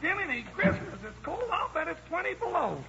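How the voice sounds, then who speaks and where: Squeaky, gravelly comic crook sidekick. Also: male voice, sing-song, a little fast, heavily acted. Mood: complaining. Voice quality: gravelly, cracking high voice. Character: comic male sidekick crook, cheeky, complaining. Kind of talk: 1940s radio comedy acting. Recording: dull old radio recording with hiss.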